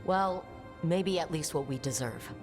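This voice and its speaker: Plainspoken heroine, low-pitched voice. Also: female voice, expressive, a little slow. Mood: hopeful, wry. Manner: casual, direct, questioning. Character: plainspoken heroic woman adventurer, questioning and wry. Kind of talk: fantasy game dialogue. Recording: studio voice over game music. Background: background music.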